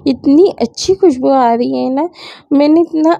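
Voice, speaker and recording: A young woman with a high, gravelly voice that sounds a little sore, chatting easily through a home vlog voice-over. Her tone is dark and mellow, set close and dry on the mic, with a slightly dull, lo-fi quality to the recording. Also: unhurried, no room sound. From India. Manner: chatty, mixing English words into Hindi. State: sore throat. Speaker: vlogger. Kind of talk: casual vlog narration.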